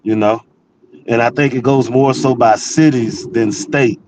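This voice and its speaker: A man's voice: mid-range voice, fairly even intonation, a little slow, darkish tone, slight background noise, poor audio. A man chatting casually in an open panel debate, talking in a loose, rambling, slangy way with some swearing. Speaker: panel participant. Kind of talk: casual group debate chat.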